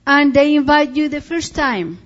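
A woman's voice: sits high, lively intonation, unhurried, highs cut off. A woman from Colombia with a Colombian Spanish accent, giving a missionary report talk and often stopping to search for English words.

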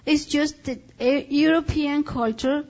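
Slow Colombian woman missionary, halting. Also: high-pitched, steady tone, darkish tone, lo-fi sound. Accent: Colombian Spanish. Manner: halting, searching for English words. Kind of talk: missionary report talk.

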